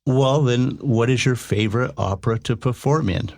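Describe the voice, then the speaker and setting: A man's voice: medium pitch, moderate pace, vocal fry, darkish tone, no echo, clean studio sound. A man co-hosting a podcast, asking curious, rambling questions in casual chat. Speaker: podcast co-host.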